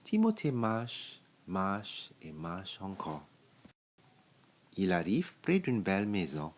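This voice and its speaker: A man with a very deep voice reads a picture book to children. He takes it very slowly, phrase by phrase, leaving deliberate pauses. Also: wildly swooping pitch. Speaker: storybook reader.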